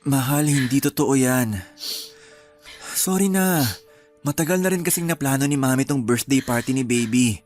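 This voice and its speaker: A gentle young Filipino husband played by a man in a radio drama, with a medium-pitched voice. He is hesitant, apologetic and placating, over a faint music bed. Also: moderate pace, long pauses, lightly acted. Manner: hesitant, placating, soft-hearted.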